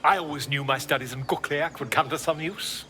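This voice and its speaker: An actor playing a flamboyant, self-important bard in a video game, with a grandiloquent theatrical manner. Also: male voice, a little high, brisk, lightly acted. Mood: excited, eager. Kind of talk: video game dramatic acting. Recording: music behind the voice. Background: music.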